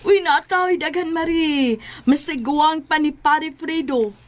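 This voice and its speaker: A woman's voice: high-pitched, slow pace. A woman playing a frank, gossipy friend who gives blunt advice in a chatty comic drama style. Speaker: character in a comedy skit. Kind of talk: comic Filipino drama dialogue.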